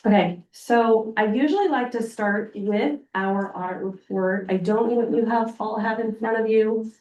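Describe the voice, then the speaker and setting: A woman with a voice made rough by a cold walks a board through an audit report. Her tone is plain and explanatory. She is picked up by a mic some way off in a roomy meeting room. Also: fairly high pitch, normal speed, darkish tone, far from the mic, lo-fi sound. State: hoarse from a cold. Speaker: external auditor. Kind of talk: presenting a financial report at a public board meeting.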